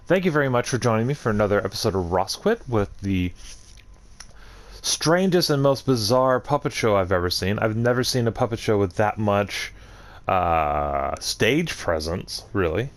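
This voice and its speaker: A man's voice: on the low side, expressive, moderate pace. A man hosting a gaming video with casual let's play commentary.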